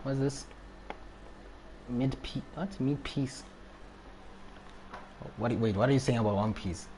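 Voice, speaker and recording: A man's voice: mid-range voice, slow pace, mellow, a little hiss or hum. A young man commentating casually on a live game stream, slangy, chatty and reactive.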